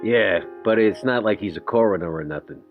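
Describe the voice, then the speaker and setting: A man acts out a wary, plain-spoken vampire in a tabletop game. The voice is low and terse, full of street-level phrasing, with faint music behind it. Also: fairly quick, phone-quality sound, close to an everyday voice. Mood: wary, blunt. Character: Max, blunt, wary, streetwise male vampire. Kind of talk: tabletop roleplay in character.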